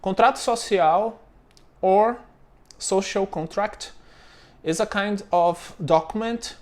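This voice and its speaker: A Brazilian man with a fairly high voice talks through business steps in English, in no hurry. He has a clear Brazilian Portuguese accent, searches for words, and keeps checking in with "okay?". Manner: halting, frequent fillers and checks of "okay?". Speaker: former business owner explaining steps. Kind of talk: informal explainer to camera.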